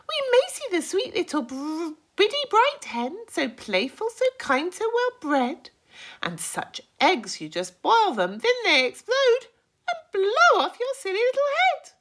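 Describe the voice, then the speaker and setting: Boisterous male centipede for a children's tale, performed by a woman: high, very animated, shouty, relishing every rhyme. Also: full character voice.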